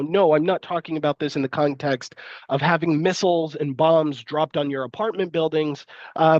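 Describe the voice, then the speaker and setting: A man's voice: a little high, no room sound, muffled recording. A man with a cold, sniffly and congested, giving a live-streamed university lecture with frequent fillers and restarts. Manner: hesitant, many fillers and restarts.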